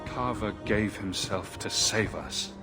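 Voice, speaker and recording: An actor playing a wary, resolute young hero in a fantasy video game, with terse, guarded lines. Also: male voice, fairly low pitch, slow pace, music in the background. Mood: grave, resolute.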